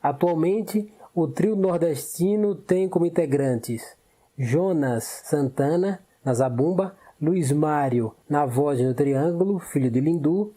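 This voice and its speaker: A man's voice: a little high, mellow. A man presenting a radio culture segment in a formal, informative scripted read.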